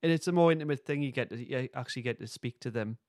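A man's voice: medium pitch, racing, a little mellow, no room sound, studio quality. A man hosting a podcast, chatting casually in an informal, understated way. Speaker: podcast host. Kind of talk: casual review chat.

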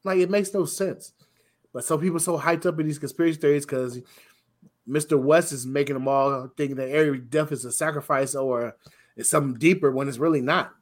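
Rough-voiced man, pitched a little high. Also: steady tone, brisk. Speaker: talk show co-host. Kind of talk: casual opinionated conversation.

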